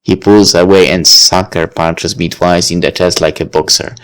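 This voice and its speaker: A man's voice: low-pitched, fairly even intonation, moderate pace. A man reading a visual novel aloud, with dry, wry asides. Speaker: let's play reader.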